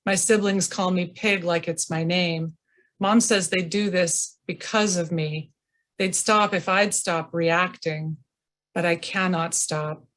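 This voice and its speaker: Woman slowly reading her memoir. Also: lowish voice, steady tone, a bit bright. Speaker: reader. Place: home, over a video call.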